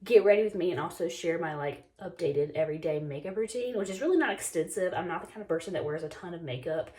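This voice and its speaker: Twenty-something girl, voice going from being sick, lowish tone, rambling chatty makeup vlog. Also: fast talker, mellow. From North Carolina, US. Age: 20s. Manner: chatty, lots of "like" and "um". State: losing her voice from illness. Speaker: beauty vlogger. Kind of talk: casual get-ready-with-me chat.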